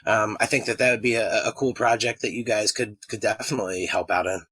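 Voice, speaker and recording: A man's voice: on the low side, fairly even intonation, fast talker, a bit bright, slightly rough, dry recording. A man in his thirties co-hosting a podcast, asking guests questions in a loose, joking way. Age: 30s. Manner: jokey, rambling with fillers. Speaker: podcast co-host. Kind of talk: casual interview chat.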